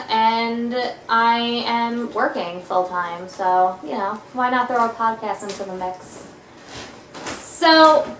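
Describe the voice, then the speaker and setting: A woman's voice: mid-range voice, a bit bright, reverberant, a little hiss or hum, low-quality recording. A woman in her twenties hosting a casual podcast from her family's living room, teasing her guest with lots of fillers. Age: 20s. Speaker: podcast host. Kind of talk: casual chat. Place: home living room by an electric fireplace.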